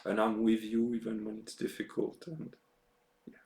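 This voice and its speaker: A man speaking in a reflective, personal monologue, halting and often repeating words and phrases. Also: lowish voice, completely flat delivery, vocal fry, a little mellow. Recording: roomy, echoing sound.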